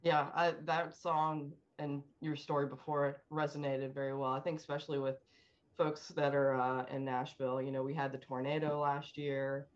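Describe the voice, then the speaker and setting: A woman's voice: deep voice, little pitch movement, normal speed, dull audio. A middle-aged woman chatting casually and candidly as a panel guest on a call. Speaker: panel guest working in healthcare.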